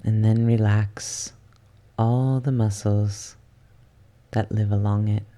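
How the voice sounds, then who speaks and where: A soft, hushed voice guiding a yoga nidra relaxation in ASMR style, soothing and unhurried, slipping at times into a whisper. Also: mid-range voice, steady tone. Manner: soothing, unhurried, with long pauses. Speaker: guided relaxation instructor.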